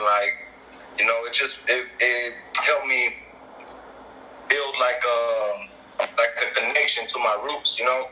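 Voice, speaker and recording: Podcast guest, man, very bright voice, muffled call audio, laid-back slangy talk. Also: medium pitch, a little hiss or hum. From El Paso, Texas. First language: Spanish. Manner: laid-back, heavy use of slang and fillers. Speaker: guest artist. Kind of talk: casual podcast interview. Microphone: video call.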